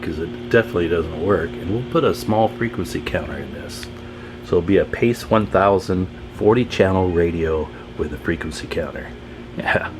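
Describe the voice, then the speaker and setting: Low-voiced man chatting at a workbench. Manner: informal, folksy, talking to viewers while tinkering. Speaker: host of a radio repair channel. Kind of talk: casual hands-on workbench commentary. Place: at a workbench, indoors.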